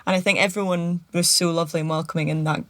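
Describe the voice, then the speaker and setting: A young Scottish woman chatting casually and warmly as a podcast guest, laughing easily. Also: on the low side, fairly even intonation, quick pace, slight background noise. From Scotland. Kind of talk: casual chat.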